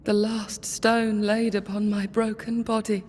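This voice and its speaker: A woman's voice: mid-range voice, flat delivery, measured pace, a little mellow, slight background noise, lightly acted. A woman playing the weary, sorrowful ghost of a wronged woman in a video game cutscene, solemn and mournful. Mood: mournful, lost.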